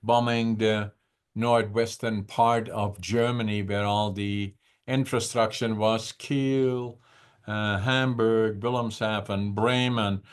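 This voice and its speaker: An older man in his eighties, from the Netherlands, is talking over a video call. His voice sits a little low, and he tells his stories slowly, in a reflective way, stopping often to search for words. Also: steady tone, clear, resonant tone. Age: 80s. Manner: unhurried, reflective, with frequent "uh" pauses. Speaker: podcast guest. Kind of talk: conversational storytelling.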